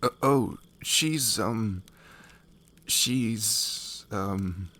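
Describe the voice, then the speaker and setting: A man playing a proud, earnest young knight in a fantasy ASMR audio roleplay, formal and halting, easily flustered. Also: lowish voice, bright, forceful tone, no room sound, heavily acted.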